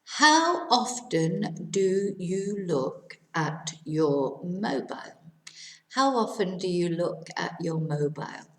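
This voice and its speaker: A middle-aged woman, teaching to Spanish speakers, repeating each question slowly and clearly. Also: lowish voice, big pitch swings, reverberant. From England. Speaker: English teacher. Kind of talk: language lesson for Spanish speakers.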